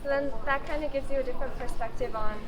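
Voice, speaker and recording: A woman from Hong Kong answering softly and reflectively in a street interview. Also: sits high, fast, over a noisy crowd. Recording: loud street noise.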